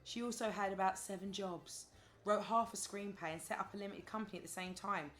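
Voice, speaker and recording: A woman's voice: mid-range voice, steady tone, brisk, a bit bright, close to an everyday voice. Actress as a wry woman friend, music underneath. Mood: wry exasperation. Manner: sardonic, chatty. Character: wry, sociable, exasperated adult woman friend. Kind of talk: audio drama dialogue.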